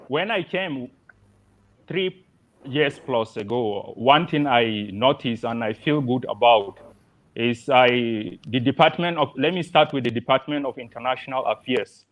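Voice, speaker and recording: Young male student from Nigeria, polite, measured, mid pitch. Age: young adult. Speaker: engineering student. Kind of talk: webinar self-introduction and testimony. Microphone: video call.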